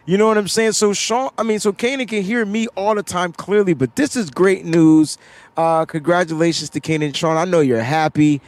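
A man hosting a livestreamed sports show, talking in a loud, animated, joking way. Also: high-pitched, moderate pace, no echo. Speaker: podcast host. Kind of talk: casual sports chat.

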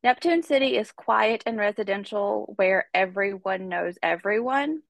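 A woman's voice: mid-range voice, lively intonation, a little slow, gravelly, no echo. A woman hosting a podcast, telling a true-crime story in a chatty, casual way while stuffed up with a cold. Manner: chatty, conversational, many fillers. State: congested with a cold. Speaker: podcast host.

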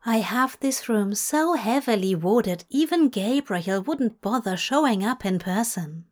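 A gentle male angel in a dramatic story, played by a woman reader. He is fond and soft in manner. There is a timid streak in him. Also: a little high, lively intonation, unhurried. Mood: fond, reassuring. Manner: soft, reassuring, faltering when afraid. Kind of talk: dramatic audiobook-style fiction reading.

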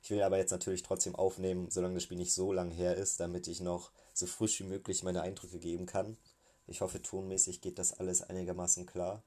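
A man with a cold giving a casual, hesitant recap of a football match as a fan, often pausing and thinking aloud. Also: sits low, completely flat delivery, warm tone. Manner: hesitant, many filler sounds, thinking aloud. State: has a cold.

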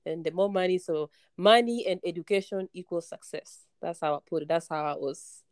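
A woman's voice: fairly low pitch, brisk, a little mellow, no room sound, studio quality. A woman from Kenya, a podcast guest, explaining her views in a plain, friendly way. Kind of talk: casual chat.

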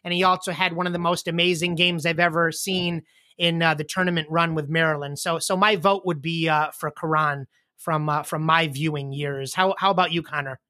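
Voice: mid-range voice, a little fast, dry recording. A host in their late forties running a casual panel chat about basketball picks and handing out questions. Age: 40s. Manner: relaxed, leads the questions. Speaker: podcast host.

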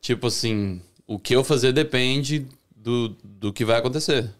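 A young Brazilian man with a Brazilian Portuguese accent, a chef chatting as a podcast guest in a relaxed way, often restarting his sentences. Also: mid-range voice, unhurried. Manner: relaxed, talks with many restarts and self-corrections. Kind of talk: casual interview chat.

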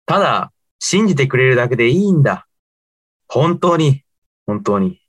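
Student radio drama: male actor as sincere young male friend, mid pitch, very animated melody. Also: normal speed. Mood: pleading, solemn. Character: earnest young male alien living as a student.

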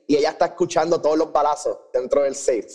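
A man's voice: fairly high pitch, fairly even intonation, fast, darkish tone. A man with a Puerto Rican accent co-hosting a podcast review, chatting casually and mixing English phrases into his Spanish. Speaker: podcast co-host reviewing an audio drama. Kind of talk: casual chat.

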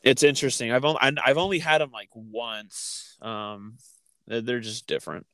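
A man with a smooth, resonant voice talks casually, recorded very dry. He co-hosts a chat and often halts and restarts as he thinks aloud. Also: mid-range voice, moderate pace, sharp tone, dry recording. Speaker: podcast co-host.

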